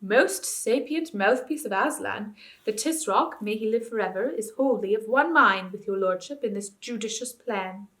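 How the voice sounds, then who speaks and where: A woman voicing a pompous male courtier who speaks in slow, flowery phrases. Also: medium pitch, fairly bright tone, lightly acted.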